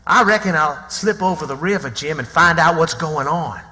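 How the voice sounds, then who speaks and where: Plucky rustic Southern boy, high and very bright, for radio theatre in a reverberant hall. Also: normal speed. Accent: American South. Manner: folksy country dialect. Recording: long reverberant hall sound.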